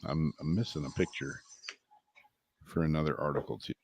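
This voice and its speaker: A man's voice: rumbling low, normal speed, darkish tone, slight background noise. A man co-hosting a casual vlog, reading odd news stories aloud with wry asides and chuckles. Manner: chatty, wry, laughs between stories. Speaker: co-host.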